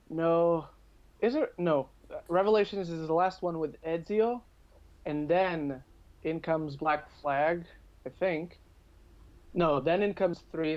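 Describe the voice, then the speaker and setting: A man from Portugal with a moderately high voice talks in a casual podcast style. He is chatty and thinks out loud as he goes. The sound is a little dull and lo-fi. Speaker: podcast speaker. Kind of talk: casual chat about video games.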